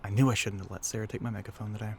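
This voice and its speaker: A man playing a low-voiced, teasing young tour guide. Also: steady tone, rapid-fire, vocal fry, slight background noise. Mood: upbeat, projecting. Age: young adult. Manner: casual, chatty, teasing, sighs between remarks. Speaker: audio roleplay voice actor. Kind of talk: scripted roleplay addressed to the listener.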